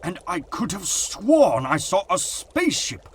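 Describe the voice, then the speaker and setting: A man playing an excitable, self-doubting older professor, hesitant and musing, in a dramatic comic-book reading. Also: high-pitched, a little slow, a little hiss or hum, heavily acted. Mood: astonished.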